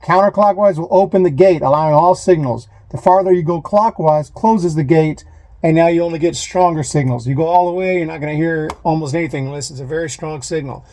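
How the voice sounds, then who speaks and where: A man giving a casual hands-on demonstration, talking in a halting way as he thinks aloud, recorded on a phone inside a van. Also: on the high side, a little mellow, low-quality recording. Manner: halting, thinking aloud while handling equipment. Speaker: vlogger, amateur DIY installer. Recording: clear background noise, radio static and clicks. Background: CB radio static and knob clicks.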